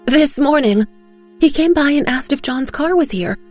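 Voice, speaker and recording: A woman's voice: high-pitched, lively intonation, normal speed, a little mellow, soft background music, voice pushed up. A woman voicing a frightened, anxious woman accomplice in an audio drama, insistent and defensive as she talks. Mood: anxious, rattled. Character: Gloria, panicky frightened woman accomplice on the phone. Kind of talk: audio drama character acting.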